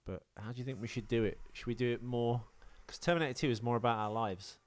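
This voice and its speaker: A man's voice: medium pitch, steady tone, quick pace. A man co-hosting a film podcast with casual, jokey banter. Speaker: podcast co-host.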